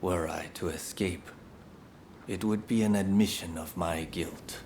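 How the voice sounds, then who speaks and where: A man voicing a stoic, dignified imprisoned master in a video game cutscene, with formal, measured speech. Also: sits low, fairly even intonation, a little mellow, a little hiss or hum. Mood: stoic, resigned. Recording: faint background ambience.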